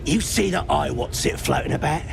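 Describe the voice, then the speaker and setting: Crude british goblin grunt for a game, high voice swooping all over, surly and slangy. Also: male voice, huge pitch swings, normal speed, vocal fry, music in the background, exaggerated voice. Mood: crude, jeering. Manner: coarse, jeering slang. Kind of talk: video game character acting.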